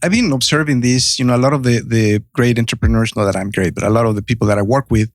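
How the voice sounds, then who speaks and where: A man's voice: medium pitch, quick pace, no room sound, clean studio sound. A man in his fifties from Mexico with a Spanish accent, telling his story as a guest in a podcast interview, earnest and full of restarts. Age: 50s. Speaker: guest entrepreneur.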